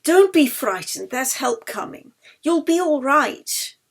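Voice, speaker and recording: An earnest, protective woman from an audiobook story, voiced by a female reader. Her voice is high and pleading, with a creaky edge and an anxious, careful way of putting things. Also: big pitch swings, takes it slowly, heavily acted. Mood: reassuring. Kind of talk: audiobook character dialogue.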